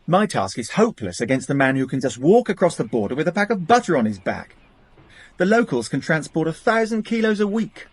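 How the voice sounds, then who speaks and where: Radio drama customs man: brisk, earnest, harassed, lively intonation, rough-edged voice. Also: on the high side, dry recording. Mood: exasperated, explanatory. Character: harassed, candid male border customs officer. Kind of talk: British radio drama acting.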